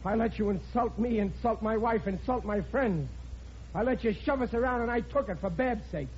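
A blunt, soft-hearted working dad in a vintage radio comedy. His voice sits high but mellow, folksy and ungrammatical, on a dull recording. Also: normal speed. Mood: indignant. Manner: plain-spoken, ungrammatical, folksy. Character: blunt, good-hearted working-class father. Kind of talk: classic radio comedy acting. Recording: dull old radio recording, background hiss.

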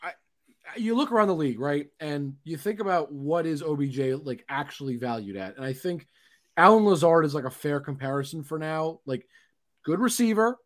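Guy hosting a sports podcast, chatty, pitch bouncing all over the place. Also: moderate pace. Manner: animated, chatty, steers the conversation. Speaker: podcast host. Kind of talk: casual sports talk.

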